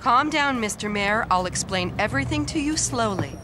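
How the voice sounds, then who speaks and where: A woman's voice: a little high, lively intonation, normal speed, close to an everyday voice. An actress playing a polite, patient woman in her thirties in a cartoon, deferential in manner. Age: 30s. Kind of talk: animated cartoon acting, English dub. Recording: music behind the voice.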